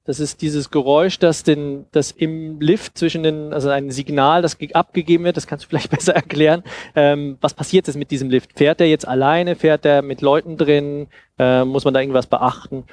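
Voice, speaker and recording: A man in his thirties giving an informal demonstration talk into a headset mic, explaining haltingly and thinking aloud. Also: fairly high pitch, steady tone, darkish tone. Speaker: conference speaker on sound design. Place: seated on a sofa in a festival room. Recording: clear background noise and ambience.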